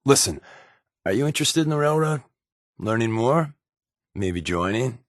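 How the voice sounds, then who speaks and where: An actor playing a wry, wisecracking American undercover spy companion in a video game, with a glib, sardonic and casual way of talking. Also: male voice, medium pitch, lively intonation, moderate pace, no echo, clean studio sound, close to an everyday voice. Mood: sly, explanatory. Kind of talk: video game voice acting, conversational dialogue.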